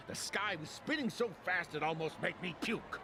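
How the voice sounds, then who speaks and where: A man's voice: high-pitched, moderate pace, background noise, lightly acted. A man voicing a cynical moviegoer who scoffs and dismisses everything, in a game with music behind him. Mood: scoffing. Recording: game audio over loud music.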